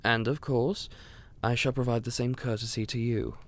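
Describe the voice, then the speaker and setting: A man playing a devoted, courteous royal steward in a fantasy audio roleplay, speaking formally, politely and in a measured way. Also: medium pitch, fairly even intonation, natural voice. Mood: courteous, assured.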